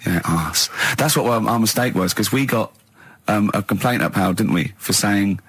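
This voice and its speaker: Husky-voiced, joking Englishman on radio. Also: on the low side, normal speed, a bit bright, dry recording. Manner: teasing, jokey, often laughing. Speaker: radio show host. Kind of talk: casual comedic radio chat.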